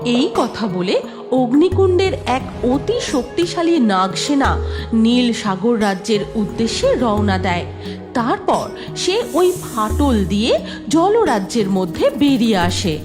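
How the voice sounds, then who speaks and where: A woman narrating a cartoon fairy tale in a measured storytelling way. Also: a little high, big pitch swings, over music.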